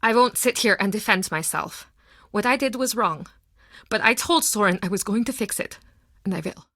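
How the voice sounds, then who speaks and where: A woman's voice: medium pitch, expressive, normal speed, sharp tone, no room sound, clean studio sound. An actress playing a proud, guarded townswoman nursing old wounds in a video game. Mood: guarded, regretful.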